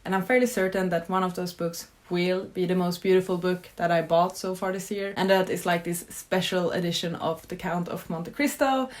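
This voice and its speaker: A young woman from Sweden, gushing about books in an excitable, casual vlog full of "like" fillers. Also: fairly low pitch, moderate pace, hardly any pauses. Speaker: book vlogger. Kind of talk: casual book tag vlog.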